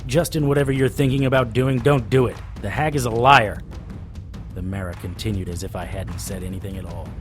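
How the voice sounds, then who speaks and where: A man's voice: fairly low pitch, fairly even intonation, brisk, soft background music, natural voice. A man playing a tough, wry monster hunter who narrates his story in a hard-boiled, dramatic first-person style. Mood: tense narration.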